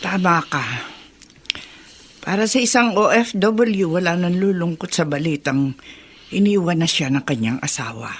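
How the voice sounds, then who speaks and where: A woman playing an indignant, gossipy old grandmother in a radio drama, with a creaky, agitated way of talking. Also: on the low side, animated, a little slow. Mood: gossipy, bitter. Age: older. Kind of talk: Tagalog radio drama acting.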